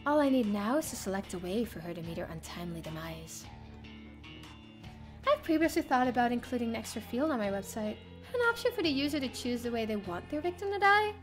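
High voiced girl streamer reading a visual novel out loud, super animated n dramatic, bit of game music behind. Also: brisk. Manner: expressive, theatrical reading. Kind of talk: dramatic first-person story narration.

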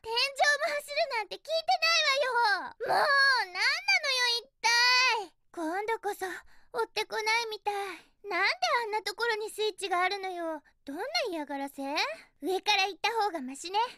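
A woman voicing a cheerful, feisty young female hedgehog in a Japanese video game, with a perky, girlish and expressive delivery. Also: extremely high pitch, moderate pace. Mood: alarmed, annoyed, then grumbling.

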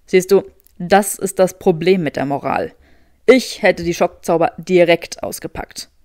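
A woman's voice: lowish voice, unhurried, darkish tone, no echo, studio quality, pitched below the performer's own voice, lightly acted. A woman voicing a haughty, skeptical young wizard man with a drily sardonic drawl in an audiobook. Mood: dry, skeptical.

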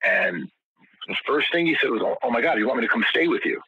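Older male guest, husky forceful voice, rambling storyteller, dry lo-fi audio. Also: mid-range voice, animated, fast, no room sound. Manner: rambling, many restarts and repeated words. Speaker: guest musician. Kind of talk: casual interview storytelling.

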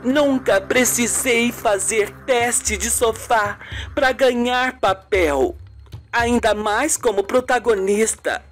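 A woman's voice: on the high side, slow, soft background music. A woman voicing a young, confident, indignant and proud actress in a radio soap. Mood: proud, defiant.

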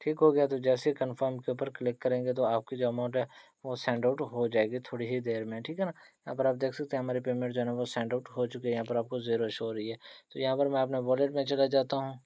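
A man gives a quick, step-by-step screen tutorial as a channel host. His pitch stays fairly flat and his tone is mellow, with frequent short check-in questions as he instructs. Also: dry recording. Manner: repetitive tag questions, instructional. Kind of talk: screen-recorded tutorial narration.

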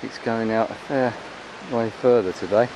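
A man's voice: lowish voice, normal speed. A man gives a casual, rambling, unscripted commentary as he walks, recorded outdoors on a handheld camera. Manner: chatty, rambling. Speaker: vlogger filming a beach walk. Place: open beach at low tide. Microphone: handheld camera's built-in microphone. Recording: outdoor handheld recording, clear wind and water noise.

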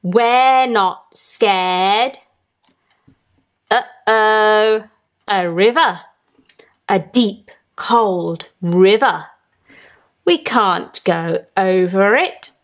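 A woman reading a children's picture book aloud in an expressive, deliberate sing-song chant with drawn-out words. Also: mid-range voice. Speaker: storytime reader for children.

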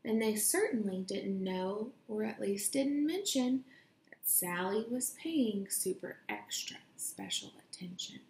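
A young woman reading a children's picture book aloud in a deliberate, expressive storytelling way, recorded in a room at home. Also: mid-range voice, gravelly, a little hiss or hum. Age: young adult. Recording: roomy home echo.

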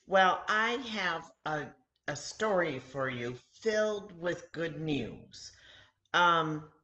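Older woman, 60s, children's storyteller; low register, deliberate and pausing, roomy home webcam sound. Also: lively intonation, bright, forceful tone, muffled recording. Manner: simple, deliberate phrasing with frequent pauses. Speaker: children's story time host at a church. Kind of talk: storytelling to children, reading aloud. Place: home kitchen, indoors.

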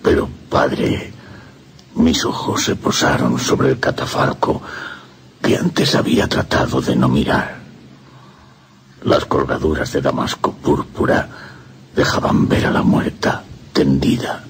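An actor playing a tormented priest confessing forbidden passion in a radio horror drama, with a halting delivery that drops into breathy whispers. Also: male voice, a bass voice, fairly even intonation, slight background noise. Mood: agitated, anguished.

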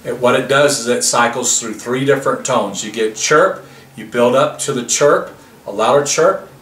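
Bright-voiced man in his fifties presenting, roomy sound. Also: medium pitch, moderate pace. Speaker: product presenter. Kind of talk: product demonstration, explanatory. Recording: roomy, distant mic, faint background noise.

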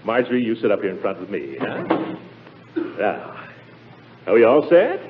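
An actor playing a blustery, exasperated middle-aged uncle in a 1940s radio comedy, hesitating and bursting into complaint. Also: male voice, lowish voice, big pitch swings, moderate pace. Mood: fussy. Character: blustery, exasperated middle-aged uncle, self-pitying sitcom head of household. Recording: muffled, noisy 1940s radio broadcast recording.

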